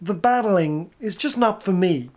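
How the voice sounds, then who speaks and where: A man's voice: high voice, expressive, measured pace, a little mellow, voice pushed up. Man acting out brash rock-warrior general, lo-fi sound. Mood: resigned. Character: brash, determined male rock roadie turned battlefield general.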